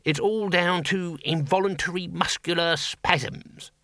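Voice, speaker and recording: A man voicing a pedantic senior wizard scholar in a storybook, explaining in a slow way. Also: high-pitched, sharp tone, no room sound, clean studio sound, pitched above the performer's own voice, heavily acted. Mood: matter-of-fact.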